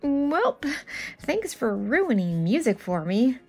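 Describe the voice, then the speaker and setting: A woman's voice: on the high side, very animated, takes it slowly, creaky, mellow, husky, full character voice. A woman voicing an exasperated, dramatic math skeptic who argues back in a picture book read-aloud. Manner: dramatic, grumbling, emphatic. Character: exasperated math-skeptic reader arguing with an alien.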